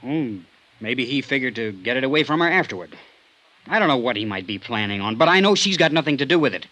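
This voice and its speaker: A man's voice: medium pitch, lively intonation, fast talker, sharp tone, poor audio. An actor playing a defensive, lovestruck man, earnest and insistent, in a vintage radio drama. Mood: insistent, devoted. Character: defensive, lovestruck man, hot-headed and loyal. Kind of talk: 1950s radio drama acting.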